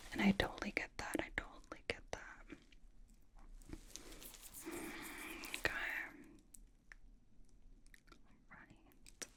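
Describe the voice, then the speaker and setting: Woman doing a young girl pop idol, blunt but doting, slow whispery asmr roleplay, gentle n casual. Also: mid-range voice, natural voice. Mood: blunt, caring. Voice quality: whispered, breathy. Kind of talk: ASMR personal attention roleplay.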